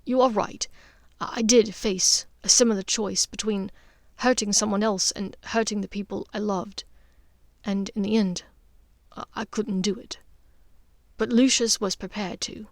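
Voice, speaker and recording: A woman voicing a proud, sarcastic young man in an audiobook, with blunt, halting, emphatic delivery. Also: medium pitch, creaky, no room sound. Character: proud, bitter young wizard son, sarcastic.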